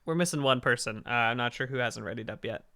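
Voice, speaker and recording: A man with a slightly hoarse voice, chatting casually and rambling to fill space on a game stream. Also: fast talker, bright, forceful tone, no room sound. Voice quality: hoarse, raspy low register. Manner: rambling, fills space with talk. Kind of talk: casual chat while gaming.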